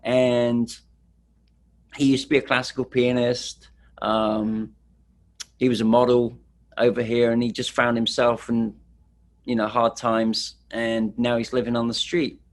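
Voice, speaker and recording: A man's voice: mid-range voice, flat delivery, a little slow, long pauses. A middle-aged man from England, casually telling stories as a street photographer, rambling with fillers. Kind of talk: casual presentation.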